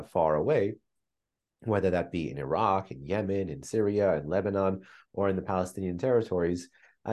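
A man answering interview questions as a policy analyst, speaking in a measured way with frequent fillers and long listed phrases. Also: low-pitched, a little mellow. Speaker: think tank analyst guest. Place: remote, joining from another city.

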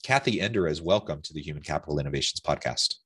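Deep-voiced male podcast host. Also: expressive, quick pace, over music. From Utah. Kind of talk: podcast interview.

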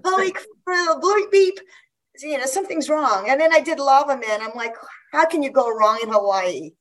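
A woman's voice: high-pitched, lively intonation, moderate pace, a bit bright, low-quality recording. A woman in her fifties from Guam, chatting casually as an interview guest, laughing often and restarting her sentences. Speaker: guest athlete.

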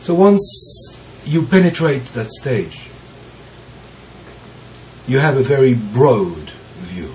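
Slow-spoken man recovering from bronchitis. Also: medium pitch, lively intonation, a little hiss or hum, rough recording. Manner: slow, pausing, gentle with soft laughs. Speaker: Zen teacher. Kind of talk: slow reflective teaching talk.

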